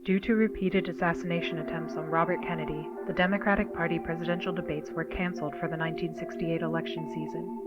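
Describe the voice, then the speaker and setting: A woman narrating a scripted documentary in a formal, measured manner. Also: fairly low pitch, level tone. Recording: dull video playback under loud music. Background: loud music.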